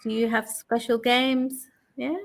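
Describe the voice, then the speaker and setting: Woman, 40s, online conversation tutor, bright high register, patient and encouraging. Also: flat delivery, measured pace. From England. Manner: patient, encouraging, repeats the learner's answers back. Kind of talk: casual conversation lesson.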